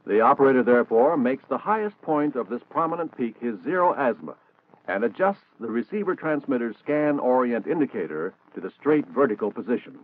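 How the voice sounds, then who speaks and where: A man's voice: medium pitch, lively intonation, a little fast. An American man narrating a scripted 1960s military training film in a formal, precise, instructional manner. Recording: dated narrow-band 1960s film soundtrack.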